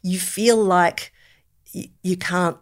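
Low-pitched woman chatting casually. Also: steady tone, slow. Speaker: podcast co-host. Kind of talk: casual chat about films.

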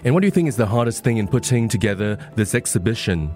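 A man's voice: on the low side, animated, fast talker, a little mellow, soft background music. A man hosting an evening radio phone-in interview, polished broadcast delivery with frequent "you know". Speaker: radio show host.

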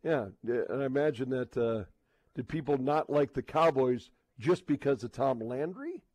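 A man hosting a casual sports radio talk show, speaking in a halting, repetitive way and often restarting his sentences. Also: mid-range voice, animated, darkish tone, no room sound.

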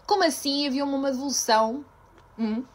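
A woman's voice: high-pitched, brisk. A woman with a European Portuguese accent venting in a casual vlog, exasperated and laughing nervously as she talks. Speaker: vlogger venting in a video.

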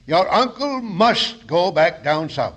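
A man's voice: high voice, big pitch swings, slow, fairly bright tone, heavily acted. A man playing a scheming fake specialist who gives solemn nonsense diagnoses, in a 1940s radio comedy. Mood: mock-grave. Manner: mock-solemn medical patter. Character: fast-talking fake doctor, scheming and glib. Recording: old radio broadcast.

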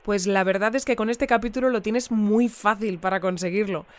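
An actress playing a courteous, composed bulletin host with an accent from Spain, in a fictional radio interview. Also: female voice, medium pitch, normal speed, dry recording, natural voice. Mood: composed, friendly.

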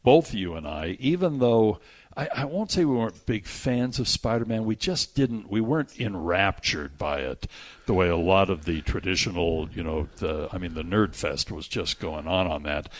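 A man's voice: sits low, lively intonation, normal speed. An American man hosting a radio show about movies, chatting in a casual, jokey and rambling way. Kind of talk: casual movie review chat.

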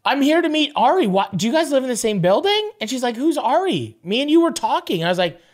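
A man's voice: extremely high pitch, quick pace. A young man co-hosting a podcast, telling a long rambling story in casual chat, repeating words and jumping to quoted voices. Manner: animated, rambling, repeats words and restarts, swears. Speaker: podcast co-host.

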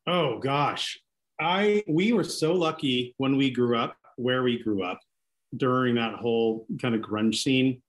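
A man in his forties speaking easily as an interview guest, Greek his first language though his English is fluent. He talks in a relaxed, halting way, stopping to restart his sentences, at a mid pitch. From Seattle. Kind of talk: casual podcast interview.

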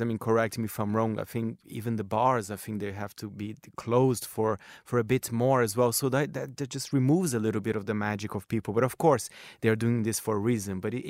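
A man's voice: on the low side, fairly quick, hardly any pauses, darkish tone, no room sound, clean studio sound. A middle-aged man with a Brazilian Portuguese accent, a radio culture correspondent interviewing a guest in a chatty way, often saying "I mean". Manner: chatty, many "I mean" fillers. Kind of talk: friendly conversational interview.

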